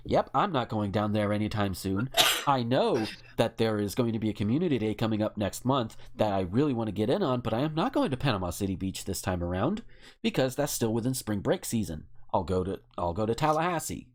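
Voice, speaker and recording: A man's voice: lowish voice, sing-song, brisk. A man co-hosting a podcast, talking casually in a rambling, wry and opinionated way. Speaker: podcast co-host. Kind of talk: casual chat.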